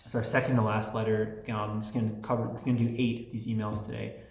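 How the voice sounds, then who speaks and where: A man's voice: lowish voice, flat delivery, fast talker, vocal fry, darkish tone, reverberant. A man hosting his own channel, reading listener letters aloud and answering them in a rambling, chatty way with many restarts. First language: English and Polish. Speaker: channel host answering fan mail.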